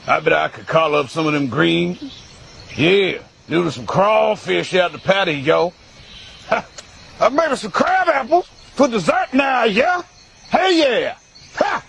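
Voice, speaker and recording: A man's voice: sits high, big pitch swings, a little slow, creaky, a bit bright, slight background noise. A man in a played clip, speaking in a folksy, whooping way.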